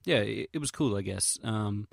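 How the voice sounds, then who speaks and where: A young English man with a fairly low voice talks in a casual audio log, recorded close and dry. He rambles hesitantly through his recollections, using slangy, sweary, self-deprecating wording. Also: normal speed, vocal fry, no room sound. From England. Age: young adult. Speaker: vlogger.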